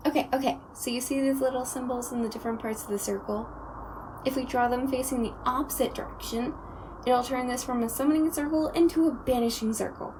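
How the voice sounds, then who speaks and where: Ghost prankster, female actor, audio roleplay: bright-spirited, perky, high pitch, light noise behind. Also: fairly even intonation, fairly quick, close to an everyday voice. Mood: breezy, playful. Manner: perky, playful, breezy. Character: cheerful, playful pun-loving young ghost, Chris.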